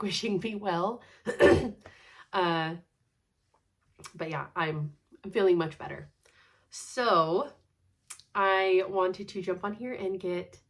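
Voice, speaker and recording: A woman in her thirties talks at an ordinary pitch, her voice thick and stuffed up from congestion. She chats casually at home, rambling into asides and breaking into laughter often. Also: fairly bright tone. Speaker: cross-stitch vlogger. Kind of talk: casual chat.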